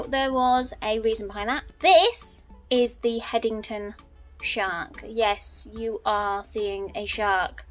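A woman, chatting casually through a walking vlog, rambling with lots of "so" and "um", filmed on a handheld phone. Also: on the high side. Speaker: vlogger. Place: outdoors on town streets and indoors at home. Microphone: phone held at arm's length.

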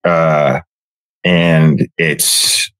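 A man with a low voice talks casually as a livestreamer. He is halting and hesitant, rambling along as he goes. The sound is dry, with little room to it. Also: steady tone, a bit bright, dry recording. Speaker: livestreamer, online creator.

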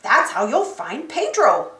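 Woman putting on an emphatic, animated talking map. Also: a little high, takes it slowly, reverberant, far from the mic, exaggerated voice. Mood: confident. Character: helpful talking map, confident and instructive.

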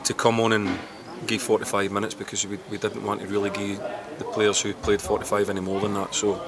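A middle-aged man, a football manager giving a post-match interview outdoors, halting and repeating words as he thinks. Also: lowish voice, flat delivery, a crowd in the background. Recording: loud outdoor background noise.

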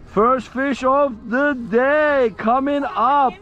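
A middle-aged man hosting a fishing vlog from a small boat at sea, cheering loudly over each fish he catches. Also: very high voice, very slow, highs cut off. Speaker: fishing vlogger host. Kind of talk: casual fishing vlog commentary. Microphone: action camera on the boat. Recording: outdoor wind with music bed.

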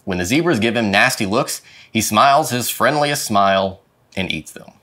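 A man reading a children's picture book aloud with dramatic, expressive phrasing. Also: on the low side, normal speed. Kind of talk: expressive storybook read-aloud. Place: indoor studio with plain dark backdrop.

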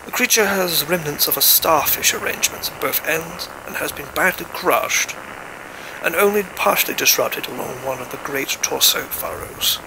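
Man acting a highish-voiced scientist radioing clipped reports. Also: expressive, moderate pace. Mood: puzzled. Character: male expedition scientist relaying field discoveries by radio. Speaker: voice actor in an audio play. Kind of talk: amateur audio drama acting, dictated report. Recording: clearly noisy background.

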